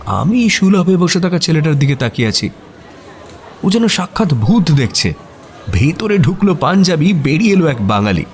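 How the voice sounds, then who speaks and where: A man acting a detective's puzzled, admiring friend who narrates a mystery audio story in an expressive, suspenseful way. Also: fairly high pitch, moderate pace, some chatter behind, a little hiss or hum. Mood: storytelling. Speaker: narrator and sidekick character. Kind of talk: dramatized first-person audio story narration. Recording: music behind the voice. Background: music.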